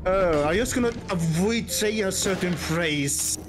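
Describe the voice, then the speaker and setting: A man's voice: sits high, unhurried. A man chatting casually on a game stream, hesitant and full of restarts. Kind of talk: casual chat. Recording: game music behind.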